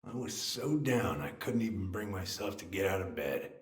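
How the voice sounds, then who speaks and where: Grieving American man, middle-aged, halting folksy delivery, low pitch, roomy echo, story-game acting. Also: lively intonation. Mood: sorrowful, reflective. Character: self-deprecating, grieving older man, old family friend. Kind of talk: naturalistic video game drama acting.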